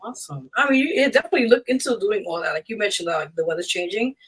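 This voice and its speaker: Fast, husky-voiced woman hosting casually. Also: medium pitch, lively intonation, a bit bright. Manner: encouraging, supportive. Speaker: radio show host. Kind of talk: casual interview chat.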